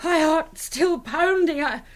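A woman's voice: extremely high pitch, takes it slowly. A woman playing a timid, flustered, easily shocked lady in a radio drama, hesitant, fluttery and polite. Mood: shaken.

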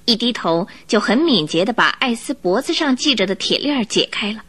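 A woman narrating a radio drama in an expressive, dramatic storytelling style. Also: fairly high pitch, a little fast. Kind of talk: scripted Mandarin storytelling narration. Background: music.

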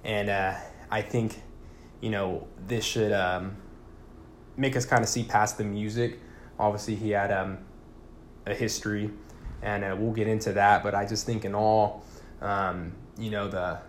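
A young man talking casually and haltingly on his solo podcast, using informal slang. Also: on the low side, a little hiss or hum. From California, born in Puerto Rico. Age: young adult. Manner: informal, slangy, hesitant with frequent pauses. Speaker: podcast host.

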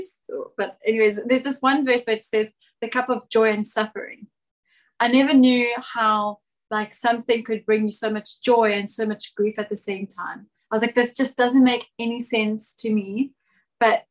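A young woman in her twenties talking casually as an interview guest, reflective and animated as she tells stories. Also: medium pitch, poor audio.

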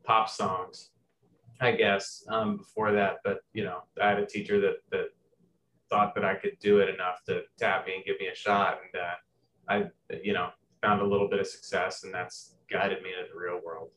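A young man from Southern Indiana talks in a low voice, rambling through his answers with plenty of fillers and restarts. There's a faint hiss of noise behind him. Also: level tone, moderate pace, a bit bright, low-quality recording. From Southern Indiana, USA. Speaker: musical theater writer. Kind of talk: casual interview answers.

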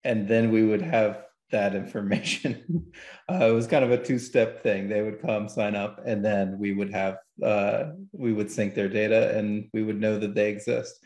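A man as a podcast guest, talking casually in a halting, understated way. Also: on the low side, fairly even intonation, a little mellow. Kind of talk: casual interview talk. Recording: roomy, echoey sound.

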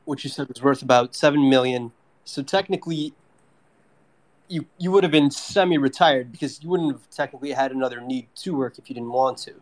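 A man's voice: medium pitch, brisk. A man co-hosting a casual live chat show, talking informally and asking questions. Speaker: co-host.

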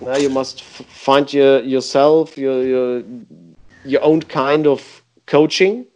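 A man, a youth football coach, answering interview questions in halting English with many pauses. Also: mid-range voice, fairly even intonation, darkish tone, low-quality recording.

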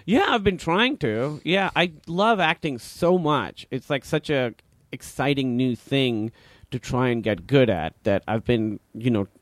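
A man's voice: medium pitch, expressive, a little slow, no echo. A man in his thirties with a Pakistani accent, a comedian chatting as a podcast guest, rambling with many fillers and restarts. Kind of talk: casual interview chat.

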